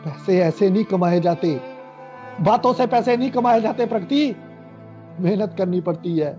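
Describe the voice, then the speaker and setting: An actor playing a stubborn, quick-tempered elder brother in a radio play, given to loud, forceful outbursts, in a muffled recording with music behind. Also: male voice, high voice, animated. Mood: angry.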